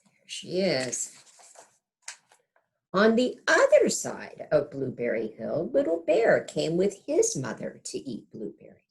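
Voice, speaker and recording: Woman, low pitch, very lively intonation, picture-book read-aloud, teacherly asides, gentle questions for small children. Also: slow, vocal fry. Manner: asks the young listeners gentle tag questions. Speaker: kindergarten teacher reading aloud.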